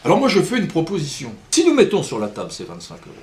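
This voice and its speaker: A man's voice: a little high, wildly swooping pitch, fast talker, darkish tone. A man speaking as a local politician, arguing his case in debate at a public meeting. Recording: echoey room, faint background noise.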